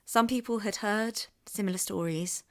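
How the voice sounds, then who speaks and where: An actress playing a curious young woman podcaster from the English Midlands, narrating in a measured, wry way and asking questions. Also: mid-range voice, a bit bright, close to an everyday voice. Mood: calm. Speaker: investigative podcast host. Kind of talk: scripted podcast narration and street interviews.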